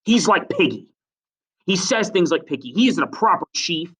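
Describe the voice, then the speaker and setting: A man voicing a bitter, tearful, defiant boy hunter in a storybook reading, making loud, forceful declarations. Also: high voice, sing-song, normal speed, slightly rough, distant mic, voice pushed up, full character voice. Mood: accusing.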